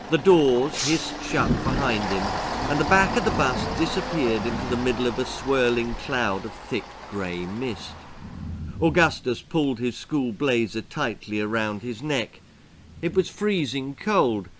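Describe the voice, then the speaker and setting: An older man reads a spooky story for children. His delivery is measured and theatrical, building suspense. Music plays behind his mid-pitched voice. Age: older, sixties. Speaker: author reading his own children's story. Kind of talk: dramatic storytelling, spooky children's tale narration. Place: book-lined room indoors.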